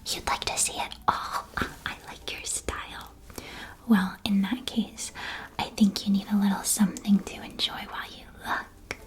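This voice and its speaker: A woman playing a cheerful, welcoming witch who keeps a magical sweet shop, in a hushed, gently enthusiastic ASMR roleplay. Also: medium pitch, little pitch movement, normal speed, close to an everyday voice. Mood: warm, welcoming. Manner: soft-spoken, hushed, gently enthusiastic sales patter.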